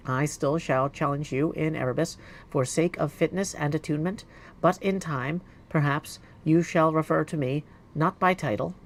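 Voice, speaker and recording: A man voicing a stern, dignified witch headmistress from a fantasy game, with formal, measured, archaic speech. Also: fairly high pitch, natural voice. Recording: clear background noise.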